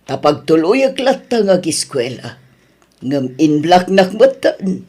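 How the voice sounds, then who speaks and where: A woman's voice: sits low, sing-song, slow pace, a little mellow, husky, heavily acted. A woman with an Ilocano accent playing a stern, hurt middle-aged mother in an audio drama.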